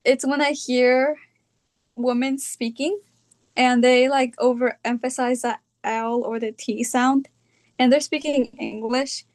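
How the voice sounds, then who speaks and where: A young woman from California with a California accent, talking about her own experience in a reflective, hesitant way, often pausing on fillers. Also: high-pitched, fairly even intonation. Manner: hesitant, thinking aloud with fillers. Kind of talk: reflective personal talk.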